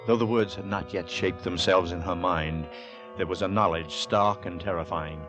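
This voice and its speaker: An actor narrating a 1940s radio horror story in a grave, dramatic, suspenseful manner. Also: male voice, low voice, steady tone, normal speed, lightly acted. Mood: ominous. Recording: vintage radio broadcast, loud music underneath. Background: loud dramatic music.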